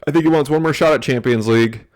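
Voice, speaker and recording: A man's voice: mid-range voice, quick pace. A man co-hosting a soccer podcast, laid-back and casual, giving match predictions. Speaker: podcast co-host. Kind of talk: casual sports chat.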